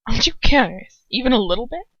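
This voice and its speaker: A woman's voice: on the high side, very animated, quick pace, bright, forceful tone, dry recording, lo-fi sound, pitched above the performer's own voice, full character voice. A woman voicing an earnest, perplexed teenage boy who questions persistently, in a story reading. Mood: pressing.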